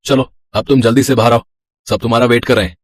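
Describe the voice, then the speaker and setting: A man's voice: mid-range voice, steady tone, fast talker, a little hiss or hum, lightly acted. A man playing an easygoing young husband in a cartoon moral story, casual, reassuring and teasing.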